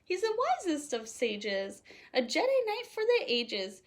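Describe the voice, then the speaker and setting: A woman reading a children's picture book aloud in an animated, playful way, leaning on the rhymes. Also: high voice, unhurried. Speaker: storytime host reading aloud.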